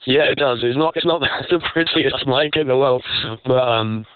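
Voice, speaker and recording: A man's voice: medium pitch, moderate pace, smooth, no echo. Man hosting through muffled, phone-like audio. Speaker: host of audience Q&A panel. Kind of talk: casual panel talk. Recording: narrow muffled phone-like radio sound.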